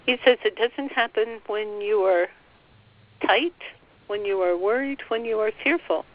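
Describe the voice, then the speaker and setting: A woman leading a slow guided meditation, softly passing on a spiritual guide's words phrase by phrase. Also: mid-range voice. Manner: soft, relaying every phrase with "he says". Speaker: channeler relaying a spiritual guide. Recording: dull, dry lo-fi recording.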